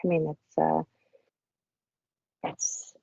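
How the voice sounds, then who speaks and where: A woman speaking as an author guest in a casual interview, effusive and often halting and restarting her sentences. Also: sits low, flat delivery, gravelly, mellow, no echo. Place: small cabin in the woods. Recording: dull lo-fi audio.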